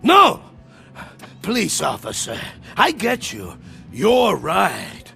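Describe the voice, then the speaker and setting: An actor playing a frightened, cowardly accountant in a video game, nervous, pleading and excitable. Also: male voice, high voice, slow, gravelly, faint music behind, heavily acted. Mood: panicked, pleading. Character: cowardly, frightened male accountant for a criminal gang.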